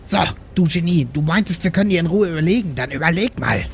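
A man's voice: a little high, animated, quick pace, vocal fry, a little hiss or hum. A man speaking casually as a player in an improvised tabletop role-playing game.

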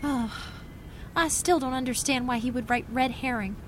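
A woman playing a curious, sharp young girl detective in an old-time radio mystery. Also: high voice, normal speed, lightly acted. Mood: preoccupied. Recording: noisy recording with hum.

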